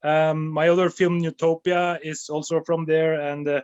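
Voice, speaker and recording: Man, 40s, higher voice, animated storyteller, dry close mic. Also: moderate pace, clean tone, no room sound, lo-fi sound. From Norway. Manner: animated storyteller, repeats words when excited. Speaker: documentary director. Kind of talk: casual interview storytelling over video call. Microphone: phone or laptop mic on a video call.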